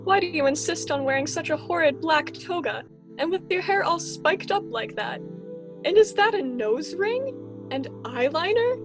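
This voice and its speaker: A woman's voice: very high-pitched, expressive, normal speed. A woman playing a fretful, exasperated sun-god father in an amateur student radio drama. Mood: dismayed. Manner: exasperated, theatrical parental fussing. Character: fretful sun-god father scolding his teenage son. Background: music.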